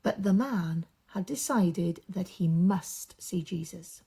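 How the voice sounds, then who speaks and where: A woman reading a storybook aloud for young children, with dramatic emphasis and drawn-out repeated words. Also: fairly low pitch, dark tone, breathy edge.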